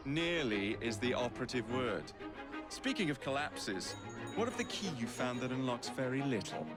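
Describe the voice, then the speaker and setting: An actor playing a flippant, headstrong young Victorian rogue with a wry, offhand delivery. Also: male voice, mid-range voice, lively intonation, normal speed, close to an everyday voice. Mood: teasing. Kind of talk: video game cutscene acting. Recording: music behind the voice.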